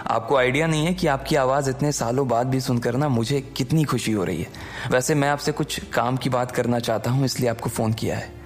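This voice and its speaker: Man acting a calm, reassuring young hero. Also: mid-range voice, fairly quick, close to an everyday voice. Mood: polite, resolute. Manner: reassuring, polite. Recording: background music under the voice.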